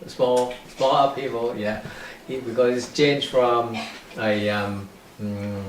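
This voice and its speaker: A man in his eighties from North Vietnam, a professor answering interview questions in a hesitant way, with many ums and restarts. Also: medium pitch. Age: 80s. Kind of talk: slow reminiscing interview answer. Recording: echoey room, mic at a distance, faint hiss.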